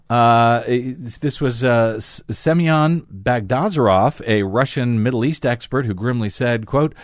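Animated male talk host, close dry sound. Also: medium pitch, dry recording. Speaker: talk show host and commentator. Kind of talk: news commentary, reading article excerpts aloud with asides.

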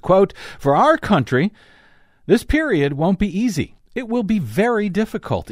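A man's voice: fairly high pitch, no room sound, studio quality. A man hosting a talk program, reading news excerpts aloud and commenting on them in an emphatic way. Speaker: talk show host and commentator.

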